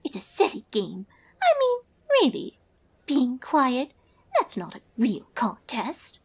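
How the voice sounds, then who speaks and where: A woman's voice: sits high, huge pitch swings, slow, breathy edge, voice pushed up, heavily acted. A woman voicing a haughty, refined young female pony, easily put out, with an affected, dismissive manner in a cartoon-style story. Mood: dismissive, sulky.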